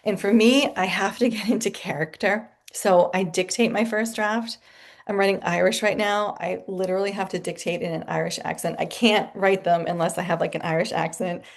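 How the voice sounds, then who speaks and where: A woman speaking as a guest author in a casual interview from her home office, chatty and animated and laughing often. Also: mid-range voice, brisk, creaky.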